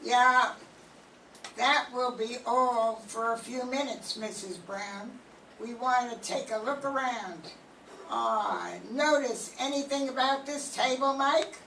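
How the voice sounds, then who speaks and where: An older woman playing a calm, methodical homicide sergeant in an old radio mystery, with deliberate, businesslike questioning. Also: fairly high pitch, full character voice. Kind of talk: 1940s radio mystery acting, read from script. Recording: roomy, distant mic, faint noise.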